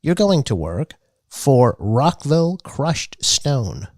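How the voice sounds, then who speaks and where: A male podcast host leading a mock public-radio style interview in a dry, deadpan manner. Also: mid-range voice, takes it slowly, no room sound.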